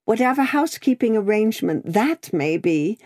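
A woman's voice: mid-range voice, big pitch swings, moderate pace, darkish tone, pitched above the performer's own voice. A woman voicing an agitated, prim and devoted Englishwoman in a storybook reading, urgent and tearful. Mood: flustered, composing herself. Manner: urgent, exclaiming, prim and formal.

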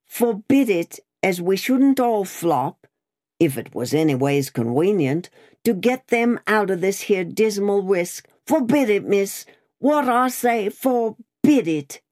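A woman's voice: medium pitch, animated, slow pace, darkish tone, no echo, clean studio sound, pitched above the performer's own voice. A woman voicing an earnest, comically preachy working-class London man, solemn and emphatic, in a storybook reading. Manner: solemn, emphatic, holding forth as from a pulpit.

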